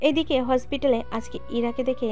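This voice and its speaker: A woman's voice: high voice, steady tone, fairly quick, darkish tone. A woman narrating a story, chatting directly to her listeners with asides. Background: faint background music.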